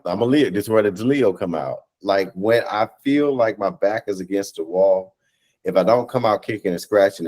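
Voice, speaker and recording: An American man in his thirties speaking earnestly as an interview guest on a video call, halting and repeating phrases for emphasis. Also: deep voice, a little mellow. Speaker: guest, stage play cast or crew member. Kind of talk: reflective conversational interview. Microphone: video call microphone.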